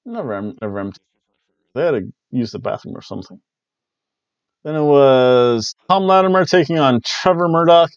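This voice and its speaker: A man's voice: mid-range voice, animated, moderate pace, smooth, dry recording. Chatty man recapping wrestling on a headset. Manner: chatty, jokey, loose play-by-play with playful exclamations. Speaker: wrestling review host. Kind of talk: casual review chat. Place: small home room with bookshelves, indoors. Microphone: headset mic on a webcam call. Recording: dry, dull lo-fi webcam audio.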